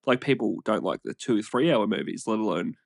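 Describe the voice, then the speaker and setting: A man's voice: on the low side, fairly quick, gravelly, dry recording, studio quality. An Australian man co-hosting a casual podcast, stuffed up and sniffly from a cold, whingeing about it in a laid-back, joking way. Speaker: podcast co-host. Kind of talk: casual chat.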